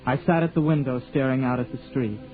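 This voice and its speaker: A shy, gentle young man speaks in halting, stammering phrases, as an actor plays him. His voice comes through a tinny, phone-like 1940s broadcast with loud music underneath. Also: mid-range voice, steady tone, lightly acted. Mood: narrating. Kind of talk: 1940s radio acting and narration.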